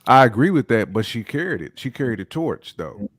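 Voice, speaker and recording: A man's voice: medium pitch, sing-song, brisk, dry recording. An American man giving opinions on a podcast, emphatic and slangy, often repeating his points. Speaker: podcast panelist. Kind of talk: casual opinionated discussion.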